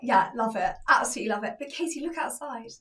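A woman in her twenties co-hosting a casual podcast, bubbly and sweary, her voice slightly hoarse. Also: fairly high pitch, expressive, fast talker, bright, forceful tone, recorded from a distance. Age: 20s. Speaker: podcast co-host. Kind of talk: casual chat.

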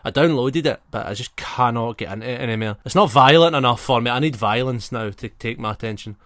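Man, 20s, podcast co-host, casual banter, mid-pitched voice, very little room sound. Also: fairly quick, a bit bright, dry recording, studio quality.

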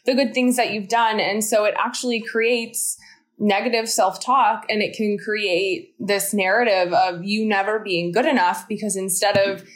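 A woman's voice: mid-range voice, fairly even intonation, normal speed. A woman in her thirties, a studio founder and guest on an interview show, explaining her ideas in an earnest, encouraging way. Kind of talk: interview conversation.

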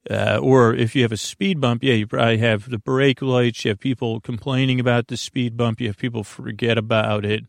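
A man with a creaky, gravelly voice hosting a bedtime podcast in a meandering, halting, folksy monologue full of digressions. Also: medium pitch, fairly even intonation, no room sound, studio quality. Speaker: podcast host.

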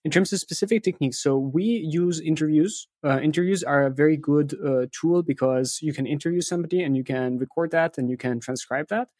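A man's voice: fairly high pitch, fairly quick, few pauses, a little mellow, no echo, studio quality. A man speaking as an interview guest, explaining things conversationally and often checking in with short tag questions. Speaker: interview guest, content marketing firm founder.

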